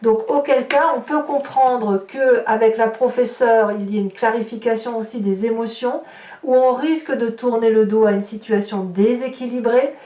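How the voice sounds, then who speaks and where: A woman's voice: on the high side, fairly even intonation, normal speed, dark tone. A woman giving an improvised tarot reading straight to her listeners, explaining as she goes and searching for words aloud. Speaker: tarot reader, horoscope host. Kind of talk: improvised spoken tarot reading addressed to viewers. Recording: roomy echo, distant microphone.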